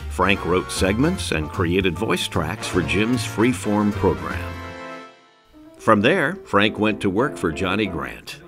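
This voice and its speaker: A man reading scripted narration for a documentary. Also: deep voice.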